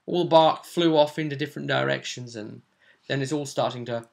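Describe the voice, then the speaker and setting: Gravelly-voiced man recapping a roleplay game. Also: mid-range voice, brisk. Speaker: game master and show host. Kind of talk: casual recap of a tabletop roleplay session.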